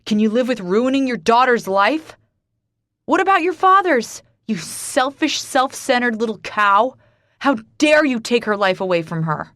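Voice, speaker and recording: A woman's voice: lowish voice, measured pace, no echo, clean studio sound, natural voice. A woman voicing a furious accusing man. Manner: harsh, accusing, shouting.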